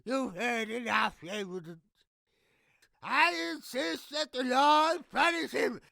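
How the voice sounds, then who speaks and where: A man's voice: extremely high pitch, vocal fry, sharp tone, no room sound, full character voice. A man playing an irate, controlling father in a Shakespeare audio drama, indignant and insistent. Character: irate, controlling father demanding the law's punishment.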